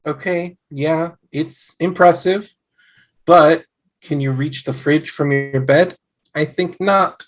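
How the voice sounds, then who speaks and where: Young husband, read by an actor, wry skeptic, very slow casual delivery, sitcom table read. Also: medium pitch, steady tone, close to an everyday voice. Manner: dry, casual.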